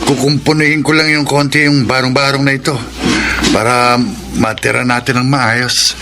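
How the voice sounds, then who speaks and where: An earnest, patient father in a radio drama, played by a man. His voice sits at an ordinary middle pitch, principled and plain, with clear noise behind him. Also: little pitch movement, moderate pace, close to an everyday voice. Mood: patient, fatherly. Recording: clear background noise with clicks.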